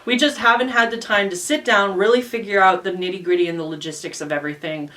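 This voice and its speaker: A woman's voice: mid-range voice, steady tone, quick pace, sharp tone. A woman co-hosting a casual, chatty channel update, recorded at some distance from the microphone. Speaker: co-host and voice actress.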